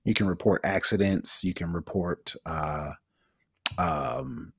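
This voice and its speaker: Male co-host, low voice, dry close sound, easygoing and halting. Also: creaky, breathy edge, no echo. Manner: relaxed, hesitant with many fillers. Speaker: podcast co-host. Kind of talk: casual tech chat.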